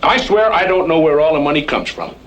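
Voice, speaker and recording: Cocky cattle rustler, higher-pitched and very animated, sardonic and folksy, noisy old western radio recording. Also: male voice, fairly quick, highs cut off. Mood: mock innocence. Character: cocky, joking outlaw cattle rustler, cowboy drawl.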